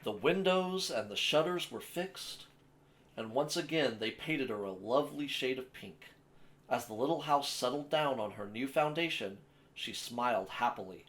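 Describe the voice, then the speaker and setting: A man with a mid-pitched voice reads a children's picture book aloud. He works as a storyteller, shaping the sentences in an expressive, measured way. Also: rough recording. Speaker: storyteller, host of a story time series.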